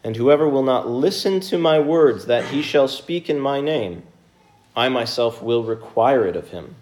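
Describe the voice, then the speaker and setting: A man preaching a sermon, reading scripture, praying and then teaching in an earnest, measured way with pauses. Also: mid-range voice, expressive, a little mellow. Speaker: pastor.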